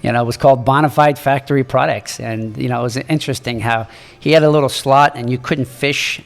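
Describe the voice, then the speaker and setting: A man in his sixties from Morocco, a native French speaker, telling his life story as a podcast guest in a halting, earnest way. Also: medium pitch, steady tone, creaky, in a large hall. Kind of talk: reflective storytelling in an interview.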